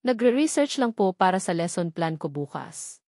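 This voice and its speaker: A woman's voice: lowish voice, very animated, normal speed, darkish tone, no room sound, clean studio sound. A woman narrator voicing an anxious young woman, polite, hesitant and evasive, with a Filipino accent, in an audio story. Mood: evasive, flustered.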